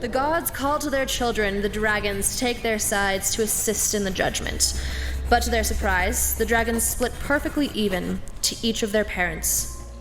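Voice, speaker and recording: A woman playing a scholar who reads fantasy lore aloud in a measured, solemn storybook manner for an audio drama. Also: mid-range voice, a bit bright, lightly acted, rough recording. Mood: solemn storytelling. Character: female scholar reading aloud from ancient tomes. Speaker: voice actor reading lore. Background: music.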